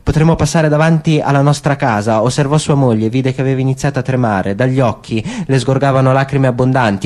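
A man's voice: medium pitch, a little mellow. A man narrating a literary story as an actor in a dramatic radio reading. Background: faint music.

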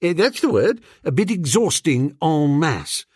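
A man voicing an amiable, dim young upper-class English gentleman in an audiobook, hesitant and full of old-fashioned exclamations. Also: a little high, sing-song, measured pace, husky, no echo, studio quality, voice pushed up, exaggerated voice. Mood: uneasy.

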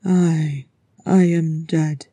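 A woman voicing a dead dwarf king in a fantasy story, proud and anguished, speaking with solemn fervour in a breathy rasp. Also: low-pitched, fairly even intonation, mellow, no room sound, full character voice. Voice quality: breathy, rasping. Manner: slow, solemn, fervent.

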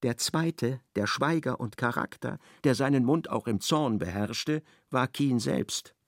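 A man giving measured, ironic literary narration in a radio play. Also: mid-range voice, no echo, clean studio sound.

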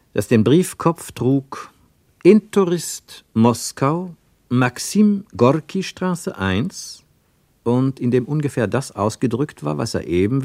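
A man narrating a literary radio play in a measured, precise, wry storytelling manner. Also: medium pitch, vocal fry, a little mellow, dry recording. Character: measured, wry male narrator of a travel tale.

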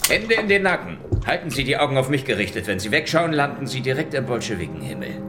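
An actor playing a hardboiled, cynical Soviet secret-police detective, talking in a dry, sardonic, probing way. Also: male voice, mid-range voice, sing-song, fast talker, close to an everyday voice. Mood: threatening. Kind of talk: radio drama acting.

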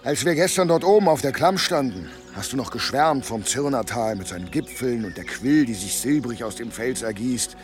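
An actor playing a stubborn, brooding former warrior with a dry, sardonic manner in a German radio play. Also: male voice, medium pitch, expressive, normal speed, few pauses, over music, natural voice. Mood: wry.

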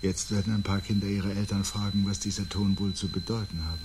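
Brooding, low-voiced man facing doom. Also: fairly even intonation. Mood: grim, resigned. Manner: grave, deliberate, literate and reflective. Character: resigned, brooding man awaiting the end of the world. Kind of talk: 1970s German radio drama acting. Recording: 1970s radio recording with loud hum.

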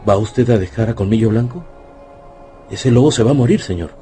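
A respectful, earnest male servant in a radio drama, played by a man. He speaks plainly and deferentially in a low, dark, soft-edged voice, with faint music behind. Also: a little fast, natural voice. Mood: worried. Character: respectful, plain-spoken male helper of a wolf's master.

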